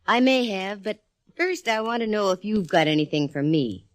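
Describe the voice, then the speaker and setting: An actress playing a wisecracking private detective who also narrates, in a brisk 1940s radio drama. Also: female voice, mid-range voice, expressive, dry recording, lightly acted.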